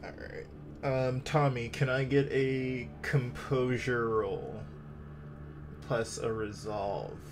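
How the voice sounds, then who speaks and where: A man narrating a tabletop horror roleplay as game master, with deliberate, descriptive storytelling. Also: mid-range voice, steady tone, background noise. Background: clear background music.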